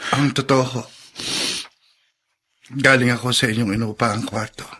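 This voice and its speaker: A man's voice: medium pitch, fairly even intonation, a little slow, bright, forceful tone, breathy edge. A man voicing an earnest young engineer who speaks politely in an audio drama. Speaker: voice actor.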